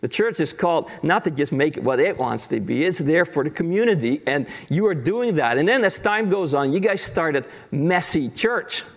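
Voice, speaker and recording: A man's voice: fairly high pitch, lively intonation, normal speed, hardly any pauses. A man who grew up in the Netherlands and speaks Dutch as his first language, preaching as a guest pastor in an easy, chatty way with self-deprecating jokes. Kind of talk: sermon.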